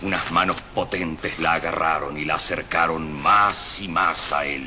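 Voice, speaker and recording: An actor playing a solemn narrator of a romantic suspense radio serial, measured and intimate in delivery. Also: male voice, extremely low pitch, steady tone, very noisy. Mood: suspenseful, intimate. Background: prominent background music.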